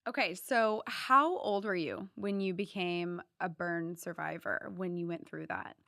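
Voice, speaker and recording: A woman host with a slightly low voice, recorded close and dry. She reads a polished intro, then asks gentle, searching questions and backs her guest with frequent murmurs. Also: unhurried, no echo. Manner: scripted intro, then soft prompting questions with many mm-hmms. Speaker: podcast host. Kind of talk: interview podcast hosting.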